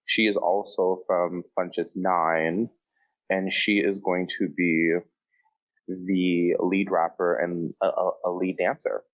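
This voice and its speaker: A man's voice: low-pitched, little pitch movement, slow, highs cut off. A man co-hosting a podcast in a casual, conversational style. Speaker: podcast co-host.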